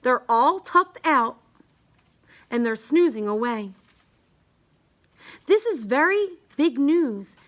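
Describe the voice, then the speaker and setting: A woman reading a rhyming children's picture book aloud in a measured, singsong way. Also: sits high, animated, a bit bright. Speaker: storybook reader.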